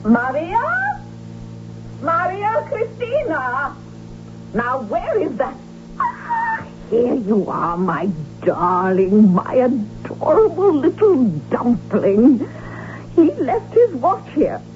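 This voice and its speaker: Scheming, syrupy archduchess mother. High, theatrical, drawn-out cooing, radio drama with faint noise. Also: wildly swooping pitch, darkish tone, dull audio, exaggerated voice. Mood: gushing, nosy. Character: gushing, scheming archduchess mother, cloyingly sweet and nosy.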